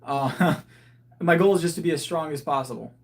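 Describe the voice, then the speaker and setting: Man, 30s, casual livestream host, slightly higher voice, chatty and meandering. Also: moderate pace. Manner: rambling, with frequent restarts and thinking aloud. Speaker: livestreaming powerlifter. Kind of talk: casual livestream chat reading viewer comments.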